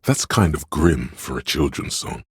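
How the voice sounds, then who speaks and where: A man voicing a video game's inner voice of a pompous, grandiose art critic, in a florid, theatrical delivery with a gravelly, husky tone. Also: rumbling low, animated, measured pace, no room sound, clean studio sound, exaggerated voice. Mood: musing, disdainful. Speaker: video game skill narrator. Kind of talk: theatrical game voice-over.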